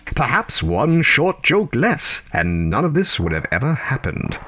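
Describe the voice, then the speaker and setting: An actor playing a whimsical, confiding storyteller, narrating a scripted audio drama with halting, theatrical pauses. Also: male voice, mid-range voice, very animated, noisy background. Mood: dramatic.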